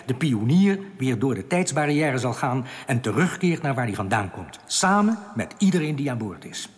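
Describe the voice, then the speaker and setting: A man's voice: mid-range voice, very animated, normal speed, lightly acted. A solemn underground rebel acted by a man, his voice ringing in long reverb and moving expressively up and down. He speaks formally and earnestly, like someone delivering grave warnings in a science fiction radio play. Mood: urgent advice. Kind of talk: radio drama acting.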